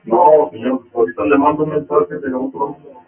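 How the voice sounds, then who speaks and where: A man's voice: on the high side, fairly even intonation, brisk. A Mexican man on a phone call, deferential and placating, with a voice-changer effect. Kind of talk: phone conversation. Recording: tinny, echoey phone line.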